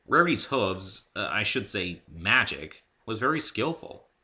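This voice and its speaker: A man's voice: lowish voice, very animated, measured pace, bright, forceful tone. A man giving a dramatic amateur reading of his own story as narrator. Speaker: amateur reader of own fan fiction.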